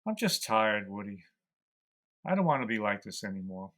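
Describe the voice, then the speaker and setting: A man playing an aging, weary, gentle mouse who speaks as a calm, fatherly mentor in an audio drama. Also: fairly low pitch, moderate pace. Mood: resigned, tender.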